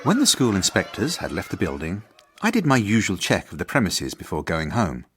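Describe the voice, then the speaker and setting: A man's voice: deep voice, big pitch swings, brisk, a bit bright, breathy edge, exaggerated voice. An actor playing a prim, earnest headmaster in a radio drama, formal and easily flustered as he tells his story. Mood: prim recounting. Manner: formal, proper, awkward. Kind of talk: radio drama narration and dialogue.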